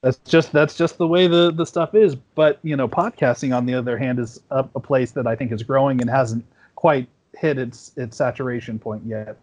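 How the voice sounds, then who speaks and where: A man's voice: mid-range voice, fairly even intonation, normal speed, darkish tone. A man, around his forties, talks as a podcast guest in a rambling, easygoing way, full of restarts and fillers. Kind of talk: casual conversation. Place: home at night.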